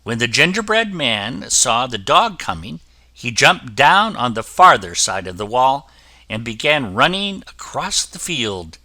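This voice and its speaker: A man reading a children's fairy tale aloud as the storyteller, with an expressive delivery. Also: mid-range voice, unhurried, dry recording.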